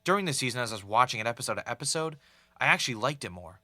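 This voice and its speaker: A man's voice: medium pitch, lively intonation, racing, sharp tone, no room sound. A man playing an earnest, doubtful podcast host in a scripted audio drama. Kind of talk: fictional podcast narration and conversation.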